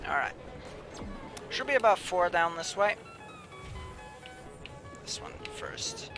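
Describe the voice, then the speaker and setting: A man's voice: high-pitched, animated, slow pace. A young man live-streaming a video game, chatting casually with viewers and muttering to himself as he plays, with a slightly whiny voice. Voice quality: says he has a whiny voice. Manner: chatty, mumbles asides to himself while playing. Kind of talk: casual live-stream commentary, reading chat. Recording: clear music playing behind. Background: background music.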